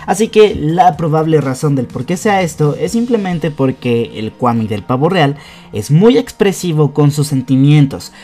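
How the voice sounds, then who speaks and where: A man's voice: fairly high pitch, animated, normal speed, warm tone. A young adult man hosting a narrated countdown breakdown, explaining conversationally with emphasis. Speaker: YouTube host. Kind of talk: narrated cartoon episode breakdown, countdown list. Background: background music.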